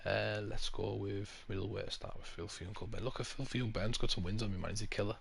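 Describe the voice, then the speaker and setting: A man's voice: on the low side, steady tone, fast, vocal fry. A young man hosting a live game stream, giving chatty play-by-play and coaching opponents, swearing now and then. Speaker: streamer host. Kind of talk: casual live gameplay commentary. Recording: noisy stream audio with controller clicks.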